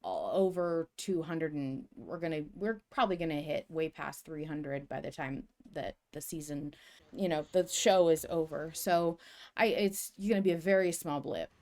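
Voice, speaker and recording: A woman co-hosting a podcast, speaking casually in a measured, tactful way during a fan discussion. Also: deep voice, a little mellow. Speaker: podcast host.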